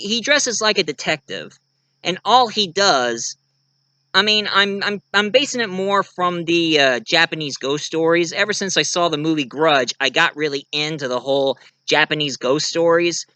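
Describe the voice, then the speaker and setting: A man talking casually and ramblingly about stories he wrote, halting and repeating phrases as he goes, with an animated storyteller's way and the odd "eh" tagged on. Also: fairly high pitch, smooth, no echo. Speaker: video creator and amateur writer.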